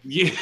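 A middle-aged man with a high, bright, forceful voice tells a casual story on a podcast. He is loud and exclamatory, slipping into quoted dialogue as he recounts what people said. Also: slight background noise. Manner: exclamatory, acts out dialogue in his stories. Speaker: podcast host. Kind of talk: animated anecdotal storytelling in casual chat.

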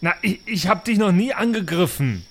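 A man's voice: high-pitched, lively intonation, moderate pace, a bit bright. A man playing a furious, hot-headed superhero who holds grudges, in improvised role-play. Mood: indignant. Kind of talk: improvised German audio drama role-play.

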